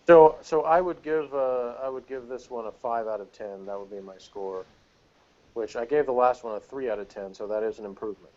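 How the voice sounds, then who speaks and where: Middle-aged man, average pitch, casual review talk, rambling and halting, muffled lo-fi audio. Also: a little mellow. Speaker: podcast panelist reviewing TV episodes. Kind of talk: casual opinionated review chat.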